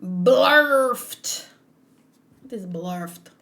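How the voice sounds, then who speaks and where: Animated, bright-voiced woman chatting casually. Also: medium pitch, extremely slow, vocal fry, slight background noise. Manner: animated, giggly, expressive reactions. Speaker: host of a manga read-along. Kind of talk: casual reaction chat.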